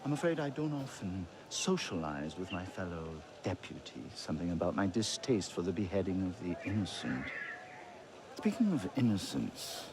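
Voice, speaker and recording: A man's voice: sits low, sing-song, normal speed, noisy background. A man playing a sly libertine aristocrat in a game cutscene, florid, urbane and sardonic. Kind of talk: video game cutscene acting.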